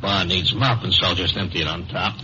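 An actor playing a jokey dive-bar keeper with breezy sales patter in a 1950s radio drama. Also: male voice, deep voice, brisk, natural voice. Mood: jovial. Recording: noisy muffled old radio broadcast.

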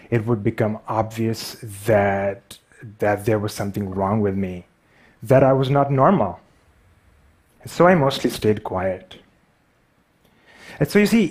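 A man's voice: medium pitch, darkish tone, husky. A man in his thirties with a Pakistani accent, giving a stage talk with wry, self-deprecating humor, on a headset mic in a large theater. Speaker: speaker.

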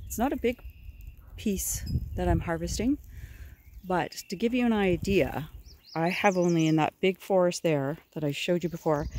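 A middle-aged woman walking through spring woods, filming on a phone held at arm's length, gently explaining how she forages. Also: fairly low pitch, slight background noise. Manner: gentle, admiring, unhurried explaining. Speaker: forager showing her land. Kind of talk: casual outdoor how-to narration. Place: spring woodland outdoors by a river. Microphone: phone camera held at arm's length.

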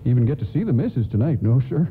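An actor playing a kindly, folksy older train conductor who stammers apologetically in a radio play. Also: male voice, medium pitch, big pitch swings, fast, slightly rough, exaggerated voice. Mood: apologetic. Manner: stammering, hesitant. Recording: thin phone-like band, noisy radio broadcast.

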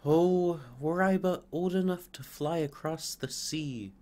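A restless young fir tree, put on by a male reader, cries out its longing in lifted, eager tones. The voice sits well above an ordinary man's speaking pitch and presses forward with exclamations. It is a storybook reading. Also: slow pace, darkish tone. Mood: yearning.

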